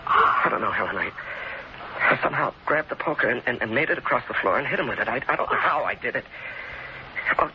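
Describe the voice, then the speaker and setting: An actor playing an anguished, protective injured husband, stammering and agitated in an old-time radio drama. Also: male voice, medium pitch, animated, quick pace, lightly acted. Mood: strained urgency. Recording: very noisy, dull old-time radio recording.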